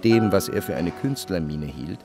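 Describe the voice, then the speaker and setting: An actor playing a reflective first-person literary narrator in a radio play, measured and introspective. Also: male voice, low-pitched, animated. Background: music.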